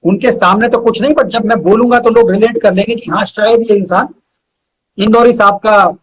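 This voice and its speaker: A man's voice: high-pitched, fairly even intonation, normal speed, a little hiss or hum, low-quality recording. A man, a poet being interviewed, speaking earnestly and reciting with emphatic repetition. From India.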